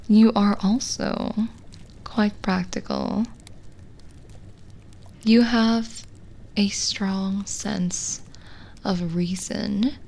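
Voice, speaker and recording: A woman playing a lonely, gentle witch in an ASMR roleplay, speaking softly and soothingly, often slipping into a whisper. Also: mid-range voice, gravelly, a little hiss or hum. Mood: intrigued, soothing. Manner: slow, hushed, soothing, with pauses. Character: lonely, gentle witch reading a guest's palm. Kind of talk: soft-spoken ASMR roleplay, partly whispered.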